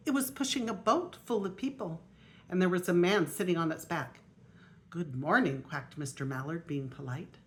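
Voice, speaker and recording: An older woman, a grandmother, reading a children's picture book aloud in a deliberate way with emphasized story words. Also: lowish voice. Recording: faint noise on homemade video.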